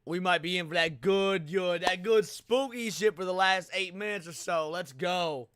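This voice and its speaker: A man's voice: high-pitched, normal speed, fairly bright tone, clean tone. A man hosting a livestream, giving casual reaction commentary full of swearing and slang. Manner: profane, slangy. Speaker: livestream host. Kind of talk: casual live reaction commentary to horror series.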